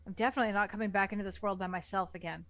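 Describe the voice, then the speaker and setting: A woman's voice: medium pitch, fairly even intonation, rapid-fire, no room sound. Dry-sounding woman narrating a story. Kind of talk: scripted first-person story narration.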